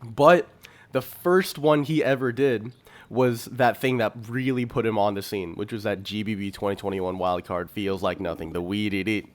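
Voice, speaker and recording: A man's voice: on the low side, normal speed. A man co-hosting a podcast, giving informal, slangy commentary with a fan's enthusiasm. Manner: slangy, enthusiastic fan talk. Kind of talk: casual, informal discussion.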